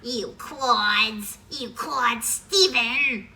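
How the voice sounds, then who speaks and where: A woman voicing a bratty little female alien gem from a cartoon, with a nasal, raspy voice. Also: a little high, extremely slow, bright, forceful tone, far from the mic, a little hiss or hum, heavily acted. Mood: scornful.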